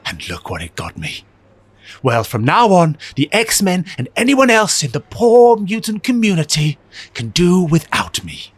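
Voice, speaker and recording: A man's voice: fairly high pitch, slightly rough, heavily acted. A man playing a bitter, brooding, wealthy fallen superhero with a sneering, curt manner in an audio drama.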